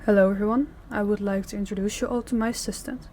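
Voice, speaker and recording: A woman's voice: mid-range voice, steady tone, quick pace, mellow, a little hiss or hum, close to an everyday voice. Woman acting as a commanding female crime boss. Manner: commanding, businesslike. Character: stern female crime boss running her department heads. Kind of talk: scripted audio roleplay acting.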